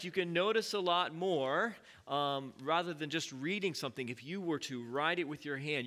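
Bright-voiced man leading a church study. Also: mid-range voice. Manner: informal, many fillers, asks the congregation questions. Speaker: pastor leading a Bible study. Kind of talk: conversational church teaching. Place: church sanctuary.

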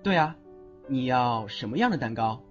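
A man acts a young audio-drama charmer, teasing yet protective, with a widely swinging pitch. The sound is a little dull, with soft music behind. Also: expressive, moderate pace, close to an everyday voice. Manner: playful, teasing. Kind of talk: Chinese audio drama acting.

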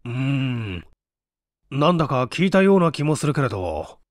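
A man's voice: medium pitch, sing-song, normal speed, dry recording, clean studio sound, voice pushed down, natural voice. A man voicing an uneasy, self-deprecating, forgetful man, hesitant and musing, in a dramatic audiobook reading. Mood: doubtful.

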